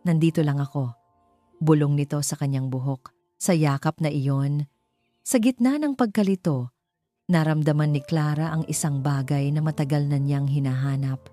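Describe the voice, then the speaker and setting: A woman reading a scripted romance story in a measured, sentimental, dramatic storytelling manner. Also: low voice, dark tone. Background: background music.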